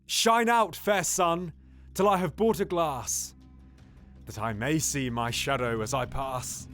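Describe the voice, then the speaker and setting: A man playing a scheming, silver-tongued nobleman in a Shakespearean audio drama, theatrical and ranging between hushed pleading and loud exultation. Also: on the high side, slow pace, soft background music. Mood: gloating exultation.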